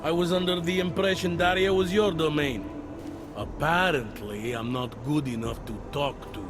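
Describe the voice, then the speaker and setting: A man's voice: on the high side, normal speed, close to an everyday voice. Man acting a weary older cop, music behind. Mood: matter-of-fact. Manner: wry, world-weary, conversational. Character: weary, self-deprecating older male cop near retirement. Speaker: police officer. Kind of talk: video game cutscene dialogue. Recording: background music under dialogue.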